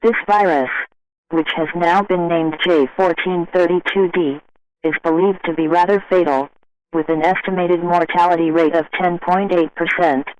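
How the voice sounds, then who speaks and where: A man playing a formal, grave emergency broadcast announcer, reading in a measured and impersonal way. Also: fairly high pitch, fairly even intonation, dry recording, dull audio, close to an everyday voice.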